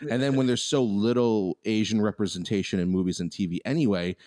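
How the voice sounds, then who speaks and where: A man's voice: lowish voice, brisk, warm tone. A man co-hosting a podcast, talking in a casual, opinionated way with many restarts. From United States. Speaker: podcast co-host.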